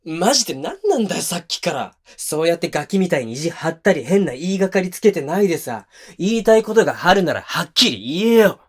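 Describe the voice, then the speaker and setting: A man's voice: a little high, big pitch swings, quick pace, hardly any pauses, husky. A male actor playing a defensive, hot-tempered young boyfriend in a voice drama, speaking bluntly and casually to the listener up close. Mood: angry, indignant. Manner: blunt, casual masculine speech. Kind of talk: situation voice drama addressed to the listener. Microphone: close binaural microphone.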